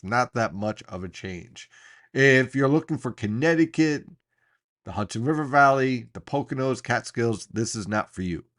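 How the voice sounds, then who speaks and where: A man presenting an unscripted weather briefing as a forecaster, explaining things emphatically with deliberate pauses. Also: mid-range voice.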